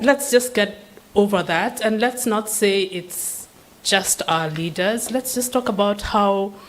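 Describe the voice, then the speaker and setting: A woman's voice: mid-range voice, slight background noise. A woman speaking as a seminar panelist, giving an unscripted answer in an earnest, questioning way with frequent restarts. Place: seminar room.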